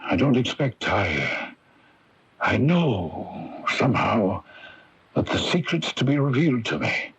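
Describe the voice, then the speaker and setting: Casting: a driven, stubborn archaeologist husband in radio drama, rough husky tone, very animated delivery. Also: male voice, medium pitch, takes it slowly, lo-fi sound, heavily acted. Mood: fervent, driven. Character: stubborn, obsessive archaeologist husband, proud and impatient. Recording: hissy early-1980s AM radio broadcast.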